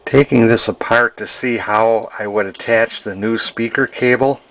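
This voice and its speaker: A man's voice: medium pitch, steady tone, moderate pace, fairly bright tone, poor audio. A man casually talking through an antique radio repair in his workshop, plain and hesitant with frequent fillers. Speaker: radio restorer. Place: home workshop.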